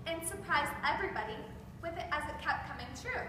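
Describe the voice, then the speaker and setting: A woman's voice: very high voice, expressive, fast talker. A woman narrating a scripted story in a readers theater performance. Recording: echoey hall from far off, noisy.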